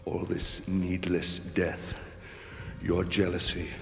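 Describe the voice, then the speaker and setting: An actor playing a vengeful Roman general with a grave, accusing manner. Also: male voice, low-pitched, little pitch movement, slow pace, vocal fry, echoey room, in a large hall, heavily acted.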